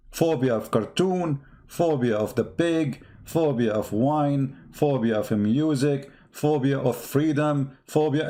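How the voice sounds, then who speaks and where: A man with a mid-pitched voice gives impassioned, unscripted commentary on the news. He is not a native English speaker. He is emphatic, repeating key phrases and pressing his points with rhetorical questions. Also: a little mellow.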